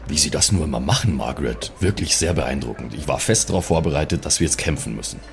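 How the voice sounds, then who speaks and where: A man playing a courteous, gruff adventurer in an improvised roleplay audio game, speaking politely and with understatement. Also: a bass voice, lively intonation, fast talker, soft background music, voice pushed down, exaggerated voice. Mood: courteous, dry. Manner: polite, understated, formal address.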